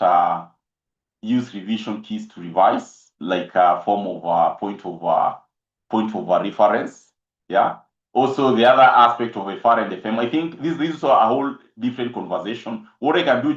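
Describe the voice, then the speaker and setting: A man with a Kenyan accent mentoring accounting students in a live online talk, mixing English with Swahili and often pausing on fillers and repeated phrases. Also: mid-range voice. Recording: roomy, dull lo-fi stream audio.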